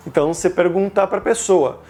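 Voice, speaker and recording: Higher-pitched Brazilian man teaching. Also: brisk, warm tone. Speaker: language teacher, video host. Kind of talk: informal grammar lesson to camera.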